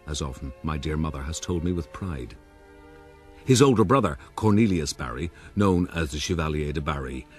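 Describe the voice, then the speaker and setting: An actor playing a pompous, wry Irish gentleman rogue narrating his life in a radio drama. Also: sits low.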